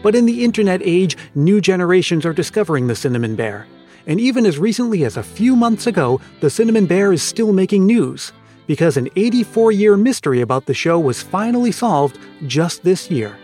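A man's voice: sits high, very animated, fairly quick, darkish tone, soft background music. A man hosting a podcast with scripted narration. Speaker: podcast host.

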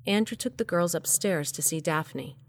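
A woman's voice: lowish voice, normal speed, close to an everyday voice. An actress playing a wry heroine who narrates her adventure in the first person in an audio drama. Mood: matter-of-fact.

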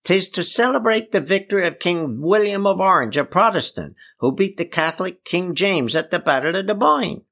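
A man voicing an excitable, easily indignant old uncle with a Newfoundland accent and folksy, exclaiming dialect, in a storybook reading. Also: high voice, normal speed, vocal fry, hardly any pauses, pitched above the performer's own voice, full character voice. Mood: explaining.

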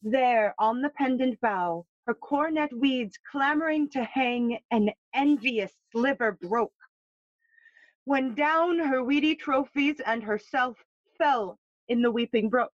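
Sad queen, high voice, real slow n poetic, shakespeare audio play, kinda muffled. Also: no echo. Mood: mournful, lyrical. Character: mournful, lyrical queen bringing word of death.

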